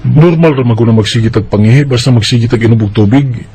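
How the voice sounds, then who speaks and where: A man's voice: medium pitch, a little fast, dark tone, lightly acted. A man with a Cebuano Bisaya accent playing a matter-of-fact, dismissive but reassuring doctor in a radio drama. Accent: Cebuano Bisaya Filipino.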